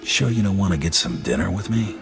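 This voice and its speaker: An actor playing a stern alien bounty hunter in a video game, honorable but menacing, talking in terse, dry lines. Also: male voice, low-pitched, very animated, fairly quick, long reverb tail, music in the background, full character voice. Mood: dry, wry. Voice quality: gravelly, husky.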